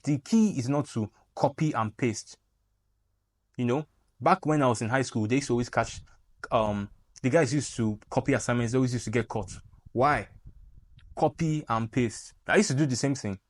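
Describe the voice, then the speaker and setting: A man's voice: mid-range voice, no room sound. A young man with a Nigerian accent, giving a playful, joking tutorial straight to camera as a YouTube creator. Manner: animated, playful, jokey asides. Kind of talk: casual tutorial talk to camera.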